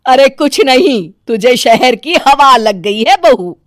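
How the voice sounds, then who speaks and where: A fretful middle-aged mother-in-law played by a woman in a dramatised moral story, doting but quick to take offence. The voice is fairly high and swings widely, with slow, emphatic exclamations. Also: lively intonation, no room sound, studio quality. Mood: offended, scolding.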